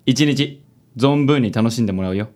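ラジオドラマで、自信に満ちた紳士的な気取った色男を演じる男性。. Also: male voice, medium pitch, normal speed, a little mellow, lightly acted. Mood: gallant, flattering. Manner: smooth, affected gallantry. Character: suave, self-assured handsome gentleman charmer. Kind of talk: radio drama acting.

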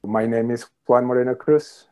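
A middle-aged man, a professor answering interview questions thoughtfully, pausing often with ums. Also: medium pitch, fairly even intonation, darkish tone. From Colombia. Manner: thoughtful, hesitant with many ums. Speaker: professor, podcast guest.

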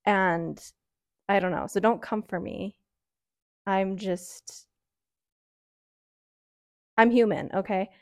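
A woman's voice: mid-range voice, slow pace, pauses often, no echo. Chatty young woman with a dry, close sound. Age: early 20s. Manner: rambling, frank, many "um"s and "like"s. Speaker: podcaster. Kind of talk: casual solo chat.

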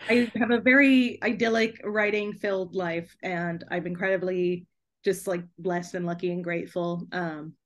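A woman's voice: lowish voice, steady tone, moderate pace. A woman guest talking casually in a podcast interview, often giggling as she speaks. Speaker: guest author and TV trailer writer.

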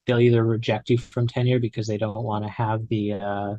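Low flat-voiced young man, halting. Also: highs cut off. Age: 20s. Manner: hesitant, full of fillers and restarts. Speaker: podcast guest. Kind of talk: casual conversation.

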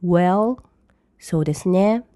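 A woman who teaches English, reading each English line slowly and then translating it briskly. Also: fairly low pitch, mellow, dry recording, clean studio sound. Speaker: language teacher. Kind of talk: bilingual lesson commentary.

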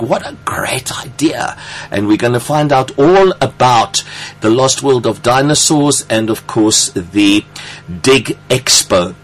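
A man hosting a radio interview, gushing and effusive, stretching out his words in the studio. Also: medium pitch. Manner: effusive, gushing, drawn-out phrasing. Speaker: radio show host.

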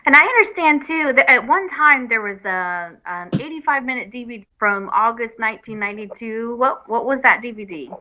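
A woman's voice: a little high, expressive, brisk, hardly any pauses, bright, forceful tone, poor audio. A woman interviewer asking questions in a conversational way.

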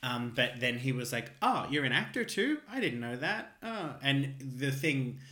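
A man with an Australian accent, co-hosting a casual chat, talking in an animated, camp way. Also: medium pitch, moderate pace. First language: English. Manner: animated, camp, laughing often. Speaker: podcast co-host. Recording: roomy echo.

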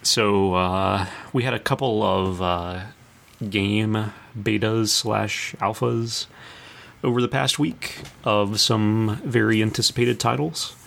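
A man's voice: lowish voice, fairly even intonation, takes it slowly, vocal fry, fairly bright tone. A man co-hosting a podcast, chatting casually about video games. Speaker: podcast co-host. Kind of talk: casual video game discussion.

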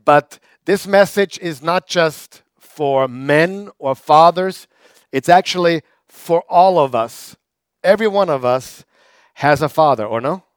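Male pastor, crisp dry voice. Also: on the high side, takes it slowly, no echo. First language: German. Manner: conversational preaching with repetitions and fillers. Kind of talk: sermon.

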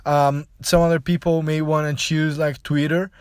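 A man from Brazil, a native Portuguese speaker with a Brazilian accent, hosting a casual solo podcast in a halting, explanatory manner with frequent filler phrases. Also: fairly high pitch, level tone, darkish tone, clear, resonant tone, dry recording, studio quality. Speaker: podcast host.

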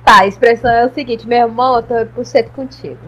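Slangy, relaxed woman guest. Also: a little high, fast, highs cut off. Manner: relaxed, slangy, says "mano" a lot. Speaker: podcast guest. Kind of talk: casual chat.

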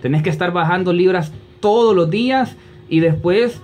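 A man's voice: high voice, normal speed, a little mellow, soft background music. A man with a Honduran accent conducting a conversational sports interview, wordy and repeating phrases as he builds questions.

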